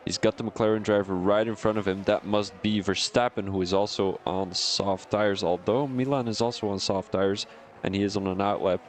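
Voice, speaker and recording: Excitable young Belgian man calling races. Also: fairly low pitch, normal speed, a little hiss or hum. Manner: excitable, laughs and rambles between calls. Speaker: sim racing commentator. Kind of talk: live stream race commentary.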